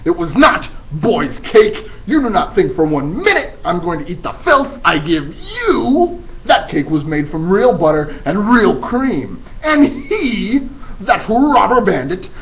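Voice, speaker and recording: A man's voice: high-pitched, sing-song, a little slow, muffled recording, slight background noise, voice pushed up, full character voice. A man voicing a monstrous, furious, bombastic headmistress in a dramatic storybook read-aloud, bellowing insults with relish. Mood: outraged.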